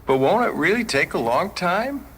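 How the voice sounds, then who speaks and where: A woman's voice: fairly low pitch, sing-song, normal speed. A woman playing a teasing, doubtful young boy with a childlike, plaintive way of talking. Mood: doubtful, wistful. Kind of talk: dramatized short story acting. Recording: noisy vintage radio drama recording.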